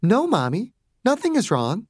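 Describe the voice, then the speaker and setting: Narrator doing spoiled little girl, real high voice, bossy and mean, ordering grown ups around, audiobook style. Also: huge pitch swings, moderate pace, in a large hall. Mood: sweetly dismissive. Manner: childish, commanding, spoiled. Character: bossy, cruel little girl leading an army.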